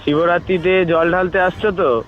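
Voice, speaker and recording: A man's voice: high voice, fairly even intonation, lightly acted. A man voicing the god Shiva as a bossy, teasing, mock-grand prank caller in an improvised comic phone call. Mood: solemn, bossy. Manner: mock-grand, teasing, scolding, drawn-out words. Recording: muffled, noisy phone call recording.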